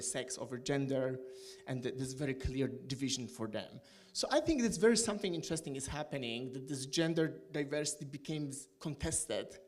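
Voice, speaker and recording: A middle-aged man speaking English on an academic panel, hesitant, with many fillers and restarts. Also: fairly high pitch. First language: Polish.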